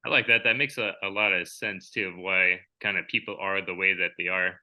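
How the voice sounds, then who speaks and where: Bright-voiced man musing casually. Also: deep voice, steady tone, a little fast. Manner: reflective, rambling, many fillers. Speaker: podcast co-host. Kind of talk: casual chat.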